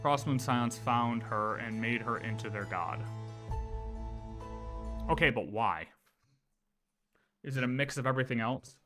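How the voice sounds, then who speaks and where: A man livestreaming in a casual, animated way, talking through lore with viewers, repeating phrases and often checking that they follow. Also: mid-range voice, unhurried, long pauses, over music. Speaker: streamer.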